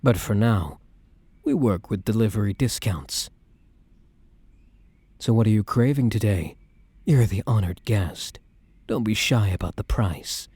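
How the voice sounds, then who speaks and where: Man acting as a mellow, low, teasing boyfriend. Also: breathy edge, no room sound. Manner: soft-spoken, teasing, wry, casual. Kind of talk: boyfriend ASMR roleplay, intimate scripted acting.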